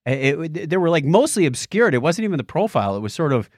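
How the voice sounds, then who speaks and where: A man's voice: a little high, big pitch swings, fast talker. A middle-aged man co-hosting a podcast, chatting casually and recounting personal anecdotes. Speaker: podcast co-host.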